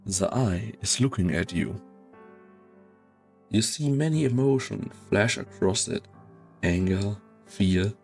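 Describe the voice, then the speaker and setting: A man narrating a game story in a measured, solemn, dramatic scripted style. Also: lowish voice, a little mellow.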